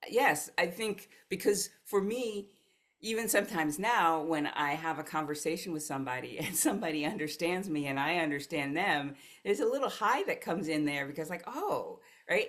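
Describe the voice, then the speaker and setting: An American woman, a life coach, telling her story as a podcast guest in an earnest, reflective way. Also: deep voice. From United States. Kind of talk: podcast conversation.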